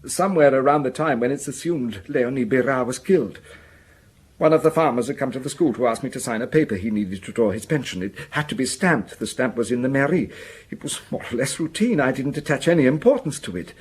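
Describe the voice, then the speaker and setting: A village schoolmaster under suspicion in a radio drama. His medium-pitched voice is husky. He is anxious and earnest, explaining himself defensively and tripping over his words. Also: animated, fairly quick. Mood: nervous, defensive. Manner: hesitant, earnest, stammering over repeated words.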